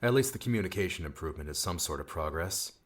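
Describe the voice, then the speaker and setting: A man's voice: low-pitched, animated, quick pace. An actor playing a level-headed, hopeful young man in an audiobook story, casual and practical. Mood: calm, practical.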